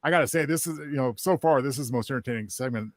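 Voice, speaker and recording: A man's voice: medium pitch, very fast, no room sound, studio quality. Male panelist, mid-range voice, conversational delivery, very little room sound. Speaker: panelist and fiction writer. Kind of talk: casual discussion.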